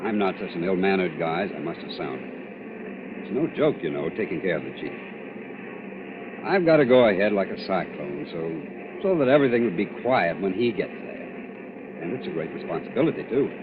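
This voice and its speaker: A man's voice: deep voice, big pitch swings, brisk, noisy background. An actor playing an anxious, officious secretary to an important man in 1940s radio drama style. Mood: apologetic, confiding. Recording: tinny old radio broadcast, music behind.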